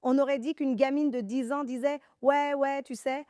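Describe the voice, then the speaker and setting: A woman born in Iran hosting a panel talk show, animated and sarcastic. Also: high voice, normal speed, no echo, studio quality. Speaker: show host.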